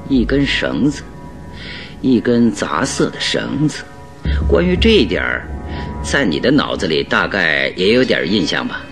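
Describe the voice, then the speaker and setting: An actor in a radio drama playing a probing, methodical male detective with a deliberate, inquisitive delivery. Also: fairly low pitch, sing-song, over music. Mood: probing, thoughtful. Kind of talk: old Chinese radio drama acting.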